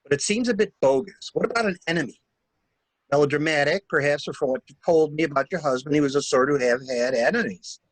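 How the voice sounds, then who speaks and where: A man's voice: a little high, fast, dry recording, lightly acted, poor audio. A man in a dramatic mystery reading, playing a shrewd stranger who questions a widow with wry, insistent probing. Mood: wry, calculating. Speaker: library staff reader.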